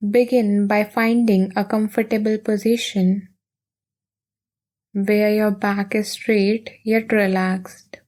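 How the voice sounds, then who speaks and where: A woman leading a guided meditation in a soothing, gentle, unhurried manner with long pauses. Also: mid-range voice, flat delivery.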